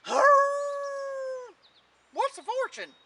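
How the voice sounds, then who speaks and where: A woman's voice: very high-pitched, expressive, distant mic, heavy background noise, voice pushed up, exaggerated voice. A woman voicing a feeble, fretful old hound dog in a storybook tale, barking and dragging out mournful words. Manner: growly barks, drawn-out mournful words.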